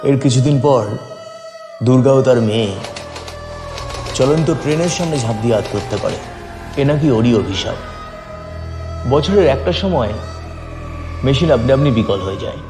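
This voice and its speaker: A man's voice: mid-range voice, animated, moderate pace, pauses often, over music. A man narrating a first-person audio story in a dramatic, scripted storytelling style. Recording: roomy, long reverb.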